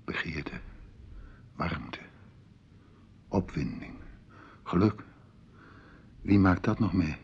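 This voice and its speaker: A man's voice: deep voice, animated, very slow, long pauses, a little mellow, breathy edge, full character voice. An actor playing a coaxing, poetic, self-assured male seducer, a musician intruding at night, in a radio drama. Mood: dreamy, seductive. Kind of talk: Dutch radio drama acting. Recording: dull lo-fi radio drama recording.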